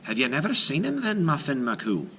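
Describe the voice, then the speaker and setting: A man voicing a shrewd, bold wife in a folktale, brisk, coaxing and sure of herself. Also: medium pitch, wildly swooping pitch, bright, forceful tone, slight background noise, pitched above the performer's own voice. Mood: proud. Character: shrewd, bold giant's wife, calm and teasing.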